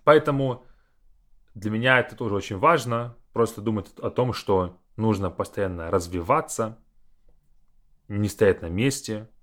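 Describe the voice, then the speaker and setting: A man, a Russian teacher hosting a podcast, talking in a casual, thoughtful monologue, slow and deliberate. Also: on the low side, fairly bright tone.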